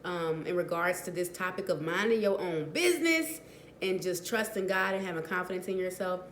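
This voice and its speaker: A woman with a cold talks straight to her viewers, her voice hoarse and raspy. She speaks earnestly and encouragingly, repeating phrases to drive a point home. The room echoes around her, with a faint hiss underneath. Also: on the low side, animated, normal speed. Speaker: faith vlogger. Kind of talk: casual encouraging talk to camera.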